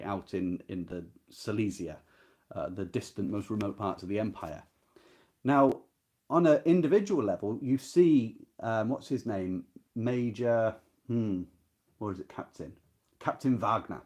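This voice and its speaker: A man's voice: fairly low pitch, a little mellow. Man informally talking through a book. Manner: conversational and explanatory, often addressing the listener with questions. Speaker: host of a book readalong. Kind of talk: informal literary discussion with short read-out passages.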